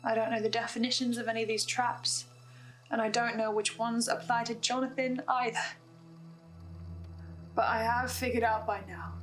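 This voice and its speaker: High-voiced woman in a theatrical monologue, over music. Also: fairly bright tone, rough recording. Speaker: host of a listener's video log. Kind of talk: dramatic scripted monologue, in-character video essay. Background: music behind the voice.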